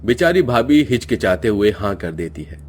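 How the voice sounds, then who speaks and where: A man's voice: fairly low pitch, big pitch swings, moderate pace, slight background noise. A man narrating a cartoon family story in a dramatic, scripted storytelling style.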